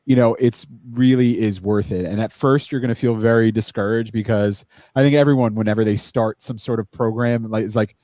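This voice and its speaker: A man's voice: on the low side, a little fast, few pauses, mellow, no echo. Dry-voiced man of forty, chatty guest. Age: 40s. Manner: chatty, encouraging, full of restarts. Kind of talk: casual podcast chat.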